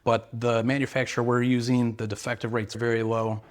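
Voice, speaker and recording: A man's voice: medium pitch, flat delivery, a little fast. A man, an earnest, sales-minded company representative explaining products and service in interview answers.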